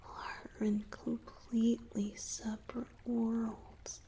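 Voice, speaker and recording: Woman voicing a slow, high, whispery lovesick merman. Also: flat delivery, mellow. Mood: melancholy, yearning. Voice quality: breathy whisper. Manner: hesitant, halting, earnest. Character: lovesick young merman, tender, anxious and yearning. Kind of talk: romantic ASMR roleplay.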